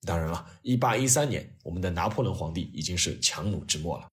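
A man narrating a scripted history story as its host, in an expressive, conversational storytelling manner. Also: low-pitched, fast talker.

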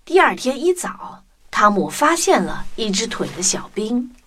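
Very animated woman narrating a children's story. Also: fairly high pitch, unhurried, breathy edge, soft background music. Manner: expressive storytelling.

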